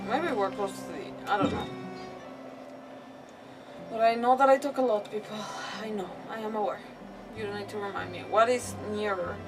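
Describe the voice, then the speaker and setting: A woman streamer giving casual, expressive playthrough commentary at an average pitch. She thinks aloud informally, sounding set back from the microphone, with game music clearly playing behind her. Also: distant mic.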